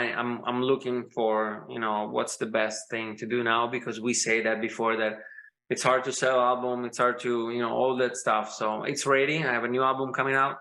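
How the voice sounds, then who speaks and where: Italian-accented male guest, bright tone, halting, searching for words, laughs easily. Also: medium pitch, rough recording. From Italy. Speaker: guest musician. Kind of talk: casual interview chat.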